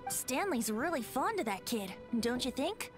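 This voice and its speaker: Lilting, playful boyish bard. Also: female voice, sits high, very animated, unhurried. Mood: knowing. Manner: theatrical, lilting, articulate. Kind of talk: video game voice acting. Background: game music.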